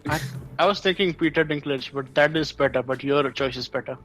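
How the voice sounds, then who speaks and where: A man's voice: fairly high pitch, fairly quick, a bit bright, rough recording. A man joining a casual panel chat about films and games. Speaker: podcast panelist. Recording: music under the voice. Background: music.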